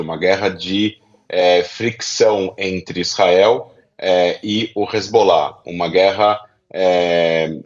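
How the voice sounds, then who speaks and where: A man's voice: low-pitched, highs cut off. A man with a Brazilian accent giving didactic analyst commentary, laying out his points step by step. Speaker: political analyst, interview guest. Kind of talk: explanatory commentary.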